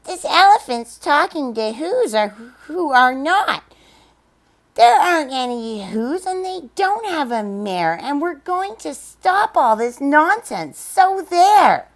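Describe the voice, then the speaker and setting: A woman voicing a band of rowdy male monkeys who shout and mock. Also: high-pitched, big pitch swings, slow pace, pitched above the performer's own voice, heavily acted. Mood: jeering. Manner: shouting, mocking.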